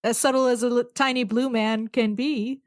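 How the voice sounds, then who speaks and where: A woman's voice: fairly high pitch, fairly even intonation, normal speed, no echo, studio quality. A woman chatting casually as a tabletop game player, describing what her character does.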